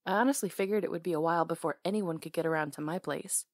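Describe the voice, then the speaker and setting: A woman's voice: fairly low pitch, fast, no echo. Playful woman in close, dry ASMR roleplay. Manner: playful, teasing, caring. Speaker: ASMR roleplay performer playing a hair stylist. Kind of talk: intimate ASMR roleplay, casual conversational acting.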